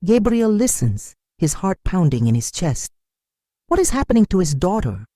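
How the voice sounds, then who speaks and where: Folktale narrator, mid-pitched and mellow, solemn dramatic read, very dry recording. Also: sing-song, normal speed, dry recording. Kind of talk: dramatic scripted storytelling narration.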